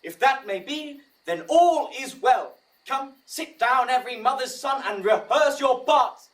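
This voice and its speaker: A woman's voice: high-pitched, expressive, measured pace, far from the mic, rough recording. A woman playing a bossy, easily exasperated director of amateur players in a Shakespearean audio drama, theatrical and commanding. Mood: brisk, bossy.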